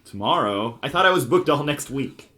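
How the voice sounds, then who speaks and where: A man's voice: mid-range voice, lively intonation, normal speed. A man playing a down-on-his-luck jazz pianist in an audio drama, chatty, nervous and hesitant. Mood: dejected. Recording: loud background ambience noise.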